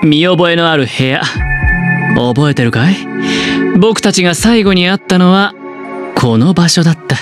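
A man voicing a smug, scheming young gambler in a Japanese anime game, with smooth, teasing, theatrical delivery. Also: fairly high pitch, lively intonation, lightly acted. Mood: smug, confiding. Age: young adult. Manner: smooth, theatrical, teasing, drawn-out dramatic pauses. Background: background game music.

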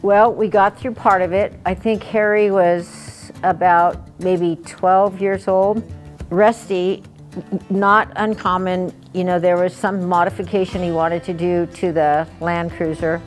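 A woman's voice: fairly low pitch, flat delivery, unhurried, soft background music. An American woman in her seventies, casually reminiscing about family life in everyday conversation. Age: 70s. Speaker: wife recalling family trips. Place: outdoors on a driveway by an open garage.

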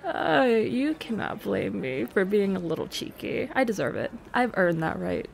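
A woman's voice: medium pitch, sing-song, a little slow, creaky. A woman playing a playful, affectionate secret girlfriend in an intimate roleplay audio, hesitant and trailing off as she teases. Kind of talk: intimate roleplay audio, casual improvised-sounding chat. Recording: noticeable cafe ambience noise behind.

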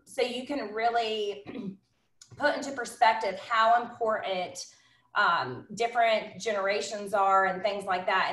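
Bubbly thirty-year-old woman training her team, kinda high voice, echoey room. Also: pushed and bright. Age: 30s. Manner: bubbly, emphatic, lots of "like" and "honestly". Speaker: business trainer. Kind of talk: casual training talk. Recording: roomy, echoey sound.

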